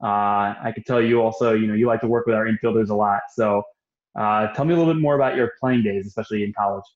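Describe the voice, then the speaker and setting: A man's voice: fairly low pitch, fairly even intonation, fast talker. Male interview host, friendly questioner; dull, echoey recording.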